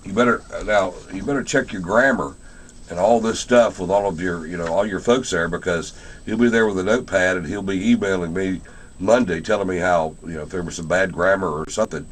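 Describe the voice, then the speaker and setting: Low-voiced American man hosting casual radio. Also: a little fast. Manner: chatty, informal, inquisitive. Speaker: radio show host. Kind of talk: casual interview and on-air promotion. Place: radio station.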